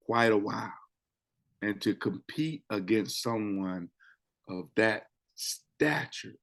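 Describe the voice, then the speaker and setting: A man who is a public speaking coach and co-host, chatting on a talk show and repeating phrases for emphasis. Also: fairly low pitch. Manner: animated, swings loud and soft for effect. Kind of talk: casual show chat.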